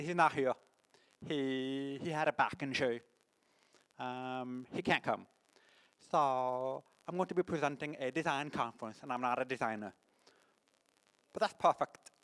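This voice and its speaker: A deaf man with a mixed French and English accent gives a conference talk, speaking haltingly with many restarts. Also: medium pitch, fairly bright tone, clean tone, rough recording. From born in the United States, lives in France. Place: conference hall.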